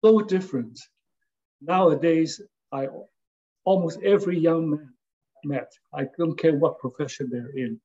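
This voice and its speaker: An older man from Hong Kong, an architect recalling his career in a halting, casual way, often checking in with "okay?". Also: fairly high pitch, dark tone, dull audio. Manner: halting, many fillers and restarts, tags with "okay?". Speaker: interviewee, architect and former design lecturer. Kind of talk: casual spoken reminiscence.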